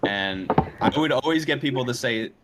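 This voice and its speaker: A man chatting casually as a guest, relaxed and a little hesitant in his phrasing. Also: mid-range voice, moderate pace, very noisy. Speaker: interview guest, musician. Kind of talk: casual chat.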